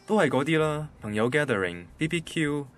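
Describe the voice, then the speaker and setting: A man's voice: fairly high pitch, animated, brisk, natural voice. Actor as ordinary young man, Hong Kong Cantonese accent, pedantic, literal-minded, radio drama, faint music behind. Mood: matter-of-fact. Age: young adult. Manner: matter-of-fact, pedantic. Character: ordinary nerdy young male banker, literal-minded, defensive.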